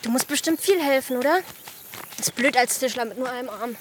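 A woman's voice: high voice, fairly quick, natural voice. A woman playing a chatty, dreamy schoolgirl in her early teens in a naturalistic German radio drama, speaking colloquially and searching for words. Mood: chatty, playful. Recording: outdoor ambience with crickets, clear background noise.

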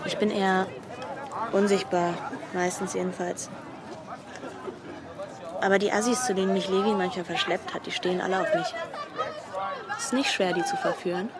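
A woman's voice: mid-range voice, normal speed, pauses often, close to an everyday voice. An actress playing an aloof, coolly defiant teenage schoolgirl in a naturalistic radio drama, talking in an offhand, dismissive way. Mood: cool, detached. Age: young adult. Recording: busy outdoor crowd noise behind.

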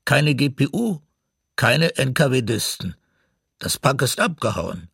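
A man's voice: medium pitch, sing-song, takes it slowly, gravelly, a bit bright, breathy edge, dry recording, studio quality, exaggerated voice. An actor playing an embittered, defiant old grandfather in a radio drama, declaiming emphatically against his oppressors. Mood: bitter defiance. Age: older. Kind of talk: documentary radio drama monologue.

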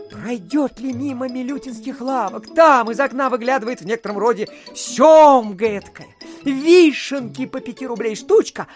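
An actor playing a chatty provincial official who spins a folksy, rambling tale in an audio play, full of filler phrases. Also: male voice, very high voice, fairly bright tone, exaggerated voice. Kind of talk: theatrical storytelling in an audio play.

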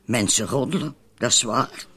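An actor playing a wary, needling woman in a radio drama, with a husky voice. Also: mid-range voice, moderate pace, a bit bright. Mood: wary, anxious. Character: wary, needling woman named Marilyn, accomplice in poisonings.

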